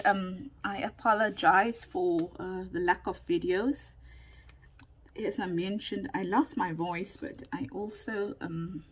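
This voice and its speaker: Slow-talking woman with a sore throat. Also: mid-range voice, slight background noise. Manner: slow, chatty, with frequent ums and laughs, drifting into whispers. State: recovering from lost voice, sore throat. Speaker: craft vlogger. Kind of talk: casual crafting tutorial chat.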